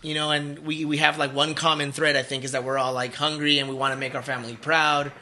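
Male podcast host, casual rambling talk, bright forceful voice, slightly higher pitch. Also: flat delivery, fast, slight background noise.

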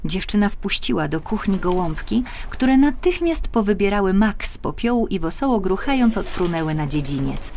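A woman narrating a children's fairy tale as an expressive audiobook storyteller. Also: mid-range voice, moderate pace, hardly any pauses, slight background noise.

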